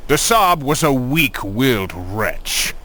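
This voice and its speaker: A man's voice: mid-range voice, very animated, slow, sharp tone, heavily acted. An actor playing a haughty, menacing Templar leader in a video game, imperious and sardonic in his delivery. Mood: sardonic, threatening. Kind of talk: scripted game cutscene dialogue.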